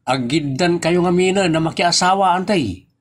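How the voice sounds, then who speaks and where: A man's voice: high-pitched, measured pace. A man playing a teasing nephew in a comedy audio drama, talking in a playful way. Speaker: nephew teasing his aunt.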